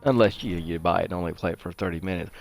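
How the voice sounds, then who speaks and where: A man's voice: low-pitched, rapid-fire, vocal fry, soft background music. A middle-aged man giving casual, rambling commentary while he plays a video game. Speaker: gaming show host. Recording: faint background noise.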